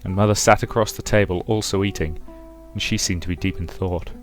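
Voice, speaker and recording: A man's voice: sits low, fairly even intonation, a little fast. A man hosting a let's play, narrating a visual novel as a dramatic story. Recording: music behind the voice.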